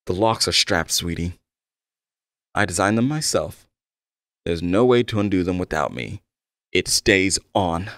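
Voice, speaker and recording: A man's voice: fairly low pitch, no echo, clean studio sound, heavily acted. A man playing an obsessed, possessive young male game developer, tender, pleading and fervent, in an intimate audio roleplay monologue. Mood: possessive, controlling.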